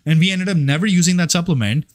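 A man's voice: fairly high pitch, quick pace. A man who works as a fitness and life coach, giving a casual motivational monologue in a rambling, profane and emphatic way.

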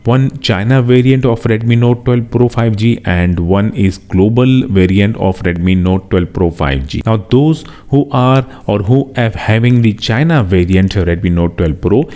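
A man's voice: on the low side, measured pace, hardly any pauses, dark tone. A man narrating a step-by-step tech tutorial as its host, in an instructional way that repeats key steps.

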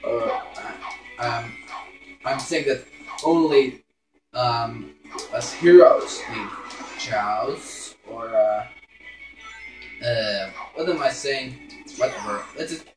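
Guy doing casual lets play commentary, slow n hesitant, mutters, echoey room w faint game music. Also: medium pitch. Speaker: gaming YouTuber. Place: indoors, filming a screen with a camera.

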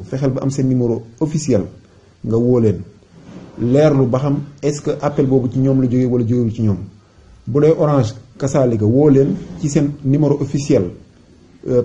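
Dark, muffled Senegalese man explaining. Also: mid-range voice. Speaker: presenter of an awareness tutorial. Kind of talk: informal explainer voice-over. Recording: dull, muffled lo-fi recording.

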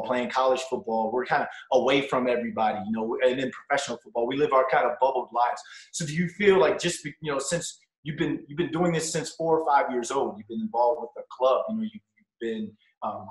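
A man's voice: mid-range voice, reverberant, low-quality recording. A young man hosting a casual interview over a video call, upbeat and chatty, on a desk mic in his home room. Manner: upbeat, chatty, frequent "you know" and "wow". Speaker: podcast host. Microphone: podcast mic on stand, headphones.

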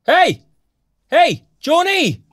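Animated young Cork man, sarcastic. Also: very high voice, extremely slow, dry recording, clean studio sound, exaggerated voice. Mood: angry shouting. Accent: Irish, County Cork. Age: young adult. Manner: colloquial, sardonic. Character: young Irish graduate, restless, sarcastic, hot-tempered protector. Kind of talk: naturalistic audio drama acting.

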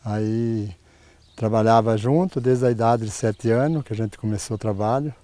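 A man in his sixties, a farmer, answering interview questions outdoors in a plain, halting way. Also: fairly low pitch, dark tone, no room sound. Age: 60s. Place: outdoors on a farm.

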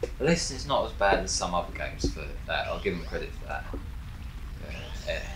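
Male game commentator, sarcastic and casual, animated low voice, roomy sound. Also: a little fast, noisy background. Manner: sarcastic, wry. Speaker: gameplay commentator.

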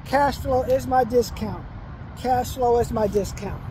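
A man in his fifties with a high-pitched voice talks into a phone held at arm's length while he walks outside. He hammers home his advice with urgent repetition, and faint traffic hums behind him. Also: a little slow. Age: 50s. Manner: preachy, repeats himself, urgent advice. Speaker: vlogger. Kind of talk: walking selfie vlog. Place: outdoors by a commercial complex, trees and sky. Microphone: phone held at arm's length while walking.

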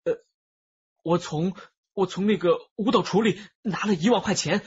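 Crime radio drama: hot-headed young male loafer, husky, high voice, stammering desperately. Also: normal speed, muffled recording. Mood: panicked, remorseful.

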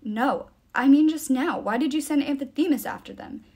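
A woman voicing a brooding, sharp-tongued teenage boy who speaks with curt reproach in an audiobook story. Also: high voice, moderate pace, pitched above the performer's own voice, close to an everyday voice. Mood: hurt, angry.

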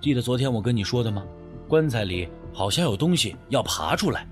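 A man's voice: medium pitch, animated, brisk, soft background music, voice pushed down, close to an everyday voice. A man playing a stubborn, suspicious young man in an audio story, brooding and scolding. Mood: insistent.

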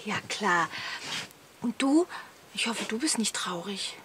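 An actress playing a naive, vain, dreamy wife in a radio play, guileless and airy. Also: female voice, mid-range voice, animated, slow pace, a bit bright, slight background noise. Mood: consoling. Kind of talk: German radio play acting.

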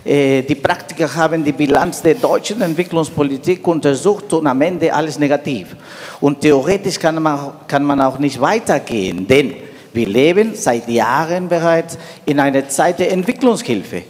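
A middle-aged man from Peru with a Peruvian Spanish accent, making a deliberate, halting comment from the audience. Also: fairly high pitch, expressive, a little mellow. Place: large wood-panelled conference hall. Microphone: handheld microphone. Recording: long hall reverb.

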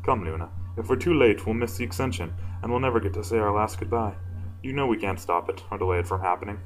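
A man voicing a gentle, earnest elder-sister pony princess in a story reading. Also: lowish voice, fast, soft background music, lightly acted, poor audio. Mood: gentle, sad.

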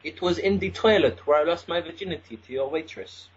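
Guy doing bratty mayor's son, high husky voice, indignant and sweary, prank call. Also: fairly quick. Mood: insistent. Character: angry, crude, entitled young mayor's son making demands. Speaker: prank caller. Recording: dull lo-fi phone call.

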